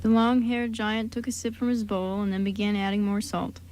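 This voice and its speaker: A woman narrates a scripted story for a radio play. Her voice sits at an average pitch, with a little noise in the background. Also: steady tone, normal speed, darkish tone, poor audio.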